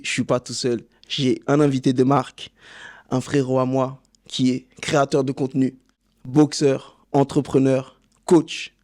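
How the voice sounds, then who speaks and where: A man's voice: mid-range voice, mellow. A man hosting a casual boxing podcast interview, friendly and enthusiastic. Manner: friendly, enthusiastic, repeats himself. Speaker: podcast host.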